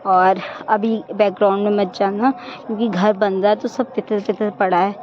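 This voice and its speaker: Hoarse chatty woman, lo-fi audio. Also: medium pitch, level tone, normal speed, a crowd in the background. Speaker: family vlogger. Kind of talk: casual vlog narration. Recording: dull lo-fi phone audio.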